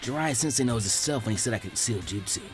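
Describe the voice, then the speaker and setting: A man puts on a cheeky teenage ninja boy for a story reading. He sounds wry and casual, with animated pitch and faint music behind. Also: quick pace, close to an everyday voice. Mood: weary, wry.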